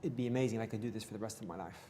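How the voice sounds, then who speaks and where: A man speaking quickly and easily as an interview guest, telling his story plainly and without fuss. The recording carries a long reverb tail and a faint hum of ambient noise behind him. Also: on the low side, mellow. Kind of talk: conversational interview.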